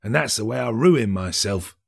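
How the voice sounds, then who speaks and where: A man's voice: lowish voice, lively intonation, normal speed, vocal fry, husky, full character voice. A man voicing a grasping, sly old dealer in stolen goods in an audiobook, with a wry, haggling drawl. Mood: grudging, haggling.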